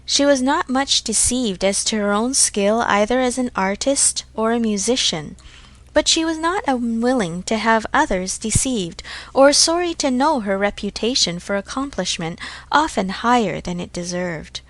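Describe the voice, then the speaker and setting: A woman reads literary fiction as an audiobook narrator. Her voice sits fairly high, with measured and formal phrasing. The sound is dry, with no room around it. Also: expressive, dry recording.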